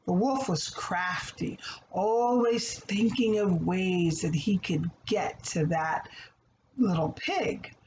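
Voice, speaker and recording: A woman giving a conversational children's story lesson in a didactic way, putting questions to listeners and answering them herself. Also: fairly low pitch. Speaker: storybook read-aloud host and teacher. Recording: echoey room with faint background noise.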